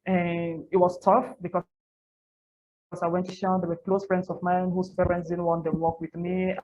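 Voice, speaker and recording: Casting: Cameroonian woman, thirties, lowish voice, candid interviewee who repeats for emphasis; noisy lo-fi capture. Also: level tone, moderate pace, darkish tone. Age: 30s. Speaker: interview guest, NGO founder and women's activist. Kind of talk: personal storytelling in an interview. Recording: muffled lo-fi audio with audible background noise.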